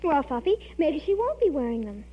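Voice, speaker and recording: An actress playing an excitable, scheming young American teenage girl in a 1940s radio comedy, with an eager, dramatic manner. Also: high-pitched, brisk. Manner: eager, dramatic, breathless storytelling. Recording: dull old radio recording.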